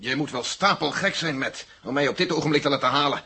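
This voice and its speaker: An imperious army general speaks fast and with irritation. His voice is forceful and raspy, in vintage radio drama style. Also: fairly high pitch, exaggerated voice. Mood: irritated. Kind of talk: 1970 Dutch radio drama acting.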